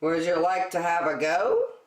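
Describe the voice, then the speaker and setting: A woman's voice: on the low side, big pitch swings, a little slow. A woman voicing an encouraging male moose in a children's picture book, giving simple instruction. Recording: roomy, echoey, distant microphone.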